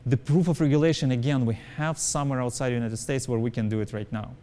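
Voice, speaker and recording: A man's voice: medium pitch, steady tone, fast talker, warm tone, a little hiss or hum. Emphatic non-native English-speaking man in interview. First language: not English. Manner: emphatic, repeats points, ends on "right?". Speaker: company co-founder being interviewed. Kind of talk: conversational interview answers.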